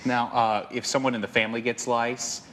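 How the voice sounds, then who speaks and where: A man's voice: mid-range voice, flat delivery, fairly quick, fairly bright tone, a little hiss or hum, low-quality recording. A man hosting a morning TV show, interviewing casually in a conversational, lightly joking way. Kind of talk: casual live studio interview. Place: TV studio.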